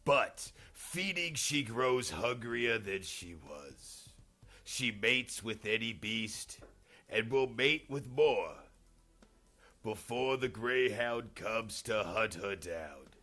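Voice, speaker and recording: A man's voice: fairly low pitch, lively intonation, slow pace, bright, forceful tone, exaggerated voice. A man voicing the ghost of an ancient poet, a grave prophetic guide, declaiming solemnly in an epic poetry reading. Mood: grave warning. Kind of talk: dramatic storybook poetry reading.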